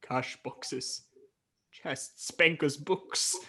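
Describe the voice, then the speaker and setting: A man's voice: a little high, extremely slow, pushed and bright, exaggerated voice. A man playing a nervous, grudging old miser in a radio play, pleading and hesitant. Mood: anxious, pleading. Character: frightened, pleading old miser, dry and reluctant. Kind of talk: theatrical radio play acting.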